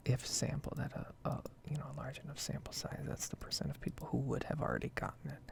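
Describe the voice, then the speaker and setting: A woman softly whispering a casual, rambling ASMR commentary over a game. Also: extremely low pitch, fast talker, vocal fry. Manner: soft-spoken, rambling, casual asides. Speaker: gaming video creator.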